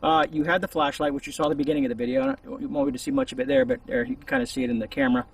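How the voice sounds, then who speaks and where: Guy doing a chatty off the cuff gear review outside, gravelly voice, a bit far from the mic. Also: fairly high pitch, very animated, very fast. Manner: rambling, informal, conversational. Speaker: host of a gear review channel. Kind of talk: casual unscripted product review to camera. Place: outdoors in a shaded spot. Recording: distant mic with faint outdoor noise.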